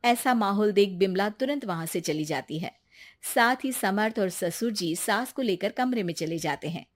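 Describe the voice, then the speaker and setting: A woman's voice: mid-range voice, lively intonation, normal speed. A woman reads a scripted domestic story as narrator. Her voice sits in a medium female range, telling events in storyteller fashion.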